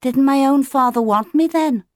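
Storybook penguin chick, young male, played by a woman: squeaky and high, eager, insistent, loud. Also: moderate pace, no echo, clean studio sound, natural voice. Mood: worried. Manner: yelling, insistent questioning.

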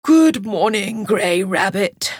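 A woman's voice: lowish voice, huge pitch swings, moderate pace, breathy edge, dry recording, clean studio sound, full character voice. A woman voicing a conceited, lazy male hare in a storybook reading, drawling as he yawns. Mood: lordly. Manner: drawling, yawning.

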